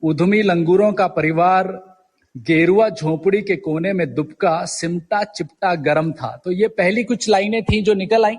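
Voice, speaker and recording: A man in his forties from Uttarakhand with a husky voice, telling his story conversationally as an interview guest, mixing in English words. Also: sits high, unhurried, darkish tone, in a large hall. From Uttarakhand, India. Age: 40s. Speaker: guest poet.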